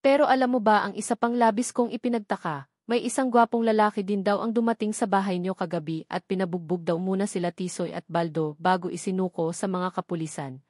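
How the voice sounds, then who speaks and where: A blunt, gossipy older housemaid, read by a woman narrator in a storybook drama. She talks in a chatty, caring way at a middle pitch, recorded very dry. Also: steady tone, brisk, a little mellow, dry recording, lightly acted. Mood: gossipy, scornful.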